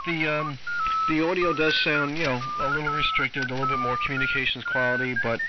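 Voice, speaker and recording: An American man, an amateur radio operator, chatting casually over an AM shortwave link, halting and often repeating himself. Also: fairly high pitch, wildly swooping pitch, distant mic, over loud music. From United States. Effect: AM shortwave radio transmission. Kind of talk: casual on-air radio chat. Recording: muffled AM radio, loud static and whistles. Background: loud radio static and tones.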